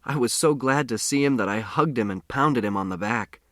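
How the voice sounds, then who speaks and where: A man's voice: mid-range voice, normal speed, a bit bright, no echo. Close, dry-sounding male storyteller. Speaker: audiobook narrator. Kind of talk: scripted first-person storytelling.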